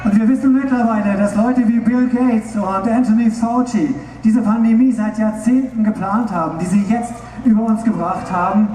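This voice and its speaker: A man's voice: high-pitched, steady tone, normal speed, few pauses. A man interpreting a speech live for a crowd at an outdoor rally, paraphrasing loosely in a raised voice. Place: outdoor rally over loudspeakers. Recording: echoey loudspeaker sound from far off, crowd and noise.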